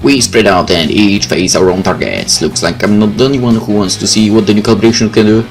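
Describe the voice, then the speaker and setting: A man doing a let's play, reading visual novel text aloud in a casual, expressive way. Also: lowish voice, brisk. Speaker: gaming YouTuber. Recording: game music behind the voice.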